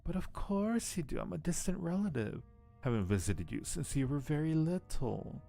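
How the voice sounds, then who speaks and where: A man's voice: on the high side, sing-song, normal speed, vocal fry, dark tone, soft background music, pitched above the performer's own voice. A man voicing a sinister elderly aunt in a game, coaxing and falsely sweet, with a nasty streak.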